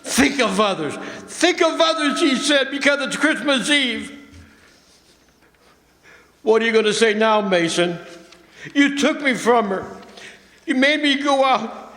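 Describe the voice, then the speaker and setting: Man acting a high-pitched, hot-tempered ambulance driver. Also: animated, a little slow, long pauses, sharp tone, heavily acted. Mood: anguished, accusing. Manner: slangy, blustering, agitated speech with stammers. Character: hot-tempered, bitter working-class male ambulance driver, desperate husband. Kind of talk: old-time radio drama acting. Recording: echoey hall stage recording, faint noise.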